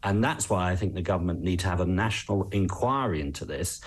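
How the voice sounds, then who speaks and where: Low-voiced English man, measured expert commentary. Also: animated, husky. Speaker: travel expert, interview guest. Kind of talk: news interview commentary.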